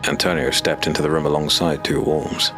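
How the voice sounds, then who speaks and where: A man narrating a dark fantasy audio drama in measured, dramatic storytelling. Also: a bass voice, little pitch movement, music in the background.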